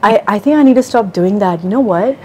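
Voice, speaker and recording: A woman's voice: mid-range voice, brisk, warm tone. Brief: young woman from New Zealand, chatty interview guest, loose and candid, faint room hiss. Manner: chatty, lots of fillers and quoted asides. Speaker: interview guest, content creator.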